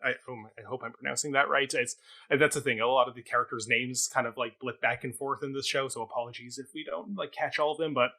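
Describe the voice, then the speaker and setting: A man's voice: medium pitch, fairly even intonation, fast talker, gravelly, pushed and bright. A man giving a casual review as a talk show co-host, in a hesitant, rambling, conversational way.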